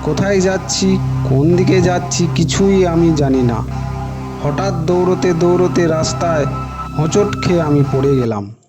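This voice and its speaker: A man's voice: fairly high pitch, moderate pace. A man narrating a horror story in the first person, in dramatised storytelling style. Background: background music.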